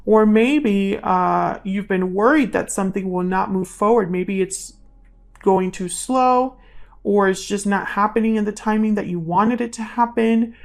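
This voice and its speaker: A woman hosts a casual tarot reading in a medium-pitched voice with a good deal of creak. She speaks in an intuitive, musing way, as if thinking aloud, and can drift toward a murmur. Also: a little slow. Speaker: tarot reader, channel host.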